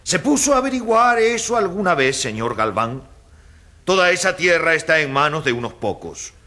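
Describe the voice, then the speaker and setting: Earnest professor, emphatic, sharp-edged voice, rhetorical, radio debate. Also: male voice, fairly high pitch, big pitch swings, moderate pace. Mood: earnest, challenging. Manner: didactic, rhetorical questions. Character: earnest, emphatic male professor arguing for landless peasants. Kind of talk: dramatized radio debate, oratorical.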